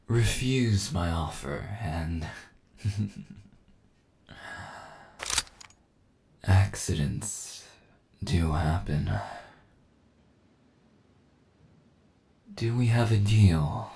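A menacing cyborg villain, played by a man for an ASMR audio roleplay. The voice sits very low and crackles with heavy fry. Lines come out slowly, with a smug, condescending air of total control. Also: dark tone, heavily acted. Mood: veiled threat. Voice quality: low, gravelly. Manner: taunting, slow menace, chuckling condescension.

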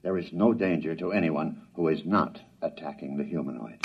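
A grave, formal news announcer in a vintage radio play. His voice is low and husky, with dramatic bulletin phrasing on a dull, old recording. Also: normal speed, full character voice. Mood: grave, hushed. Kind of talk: old-time radio drama acting as live reporting.

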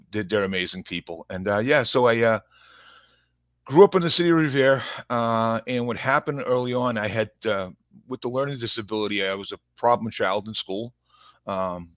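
A man's voice: on the low side, fairly bright tone, no room sound. A man in his fifties with a thick Boston accent, a police officer telling his life story as a guest in a rambling, self-deprecating way. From Massachusetts, USA. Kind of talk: casual conversational interview.

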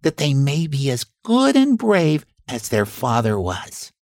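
A man's voice: mid-range voice, huge pitch swings, measured pace, darkish tone, no room sound, studio quality, pitched above the performer's own voice. A man voicing a sweet, anxious young female moth in a children's storybook, speaking in an earnest, pleading way. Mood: fond, hopeful.

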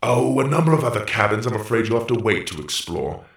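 A man voicing a brisk, formal robot tour guide in a children's audiobook, precise and announcing, with a roomy echo. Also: on the low side, big pitch swings. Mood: apologetic, firm. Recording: roomy, echoing voice.

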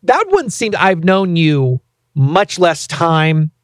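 Man, 30s, lively pitch, dry close sound, playfully indignant podcast host. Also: takes it slowly, no echo. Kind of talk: casual podcast chat.

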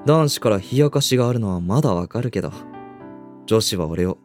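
Man playing a reticent teenage boy with animated pitch. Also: brisk, soft background music, lightly acted. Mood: guarded, wistful. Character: reserved teenage high school boy, former ballet dancer. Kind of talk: radio drama dialogue and narration.

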